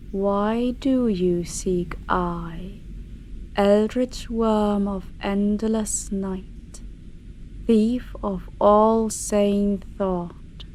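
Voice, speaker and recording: Very slow, haughty ancient dragoness, acted by a woman. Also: medium pitch, a little mellow, slight background noise, low-quality recording. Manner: grandiose, archaic, deliberate, breathy whispered passages. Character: ancient female dark dragon, eldritch, haughty, menacing, contemptuous. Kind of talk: slow ASMR fantasy monologue.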